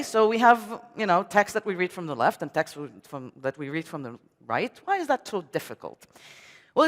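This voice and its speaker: Lively woman speaking in a reverberant hall. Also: fairly low pitch. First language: Hebrew. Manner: chatty, frequent um and uh fillers, wry asides. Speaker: conference speaker. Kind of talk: technical conference talk. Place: conference hall. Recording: long reverb of a large hall.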